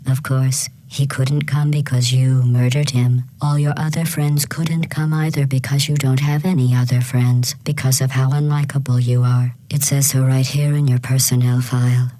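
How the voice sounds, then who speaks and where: An actress playing a passive-aggressive, coolly mocking artificial intelligence in a video game, deadpan and condescending. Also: female voice, a bass voice, moderate pace, few pauses, dry recording, low-quality recording. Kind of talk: scripted video game villain dialogue.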